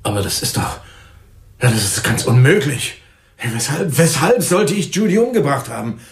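A man's voice: mid-range voice, sing-song, moderate pace, roomy sound. A man acting in a radio drama as a hot-tempered, defensive bar owner, blustering and indignant.

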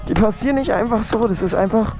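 Hoarse young man on a motorbike. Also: high-pitched, quick pace, over music. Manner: excited slangy chatter. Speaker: motovlogger. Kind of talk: casual helmet-cam commentary. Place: outdoors on a city street at night, riding a motorbike. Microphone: helmet intercom mic.

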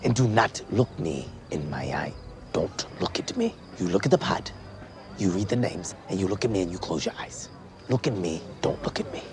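An actor playing an undercover cop faking a pompous foreign prince, speaking in a lowered, haughty put-on voice that bursts into shouting. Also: male voice, lively intonation, moderate pace, a crowd in the background. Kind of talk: film comedy acting. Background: film score.

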